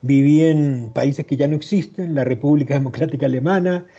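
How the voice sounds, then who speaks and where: A man in his sixties with a Chilean accent, his voice very dark and mellow and a touch higher than most men's. He answers in a measured, reflective way, and his remote call line comes through dull and muffled. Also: breathy edge. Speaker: historian guest. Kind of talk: interview answers.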